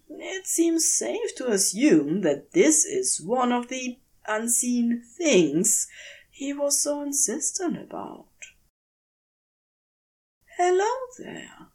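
A woman reading a scripted story aloud with an expressive, unhurried storytelling delivery. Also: on the high side. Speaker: audiobook-style reader of fan fiction.

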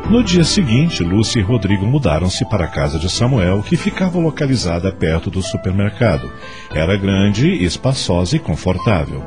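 A man's voice: low-pitched, sing-song, moderate pace. A man with a Brazilian accent narrating a scripted radio drama as storyteller. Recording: music under the voice.

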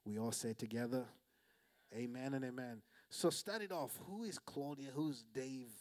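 A man's voice: medium pitch, normal speed. A man who is a pastor, calling out to the congregation and interviewing a guest in church. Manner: energetic, call-and-response preaching.

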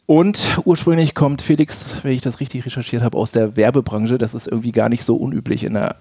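A man hosting a podcast in a chatty, rambling way. His voice is dark and mellow with a husky edge, recorded close and dry. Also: mid-range voice, steady tone, fairly quick, dry recording. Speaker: podcast host. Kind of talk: casual podcast interview.

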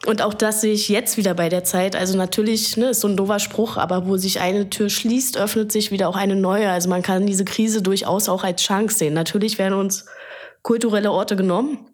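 A woman's voice: medium pitch, fairly even intonation, brisk, breathy edge. Chatty woman in her thirties. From Berlin, Germany. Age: 30s. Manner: chatty, earnest, many fillers. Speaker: photographer and guest. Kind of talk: casual interview talk.

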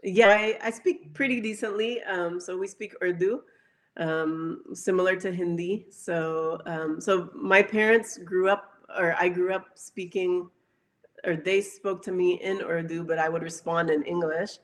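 A woman speaking as an interview guest in casual chat, pausing often and letting phrases trail off. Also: on the low side, fairly even intonation.

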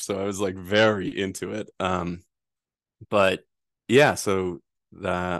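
Man, 30s, from Rhode Island, deep voice, relaxed rambling storyteller, dry sound. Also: dry recording. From Rhode Island, USA. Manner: relaxed storytelling with frequent fillers. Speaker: guest, privacy attorney. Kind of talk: casual interview answers.

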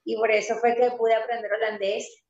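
A woman being interviewed in a casual conversation, animated and emphatic, slowing down to stress her points and laughing easily. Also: on the high side, a crowd in the background. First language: Spanish. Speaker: interview guest, pastry entrepreneur. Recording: roomy, slightly dull sound.

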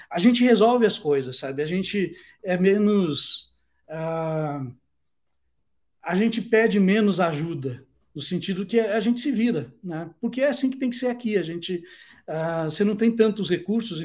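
A Brazilian man with a Brazilian Portuguese accent, a product designer guest telling stories in a relaxed interview, with many fillers and restarts. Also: sits high. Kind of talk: casual conversational interview.

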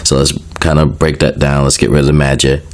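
A man's voice: extremely low pitch, little pitch movement, a little fast. A young man getting over a cold, sounding a little nasal, casually explaining video game lore with lots of fillers, asides and self-corrections. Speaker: video creator.

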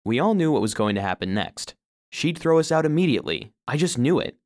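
An actor playing an earnest, sensitive household robot who narrates his own story for children in an expressive, heartfelt way. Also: medium pitch, brisk. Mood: tense, wondering. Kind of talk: scripted first-person storybook narration for children.

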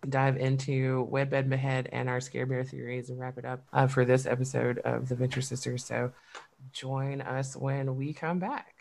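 A woman's voice: very deep voice, level tone, normal speed, gravelly. A woman co-hosting a podcast in a casual, profane, emphatic chat, often breaking into laughs. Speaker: podcast co-host.

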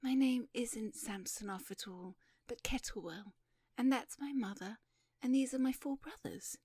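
A shy, polite young lady from an audiobook story, voiced by a woman narrator. She speaks high and breathy, earnest and eager to explain. The sound is close and dry. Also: unhurried, a little mellow, no echo. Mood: earnest, grave.

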